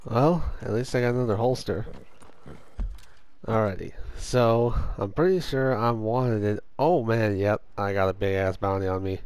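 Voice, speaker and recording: A young man with a hoarse voice chatting casually over his gameplay as a gaming host, swearing when things go wrong. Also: lowish voice, moderate pace, a little mellow, no echo. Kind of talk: casual let's-play commentary.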